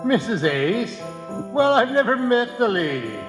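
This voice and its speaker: In a reverberant hall, a man plays an obliging, wry salesman from old-time radio comedy. His high voice swoops around and draws words out. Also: big pitch swings, a little slow, heavily acted. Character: wry male print-shop tradesman taking phone orders. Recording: live hall recording, long reverb, music.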